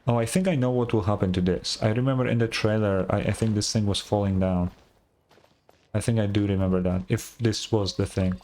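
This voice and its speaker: A man's voice: lowish voice, steady tone, brisk, a little mellow. A man giving casual, hesitant gameplay commentary, often trailing off mid-thought. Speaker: gameplay video creator.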